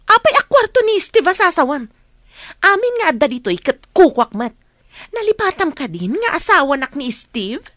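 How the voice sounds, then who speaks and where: Haughty Ilocano wife, played by a woman, radio drama: high, animated, scolding, recorded dry and close. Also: normal speed, no room sound. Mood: indignant. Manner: sharp, scolding, demanding. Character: haughty, bossy, quarrelsome wife of the house.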